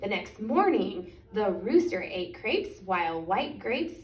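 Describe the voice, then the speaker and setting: A woman reads a picture book aloud for children in an expressive, deliberate way, stretching out key words. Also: mid-range voice. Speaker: children's story reader. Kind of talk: animated picture-book storytime reading. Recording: echoey room, distant microphone.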